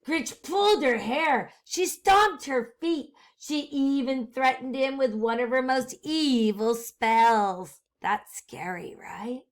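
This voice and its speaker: A woman doing an expressive children's read-aloud, pausing the story to ask listeners questions. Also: high voice. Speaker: storytime reader, teacher.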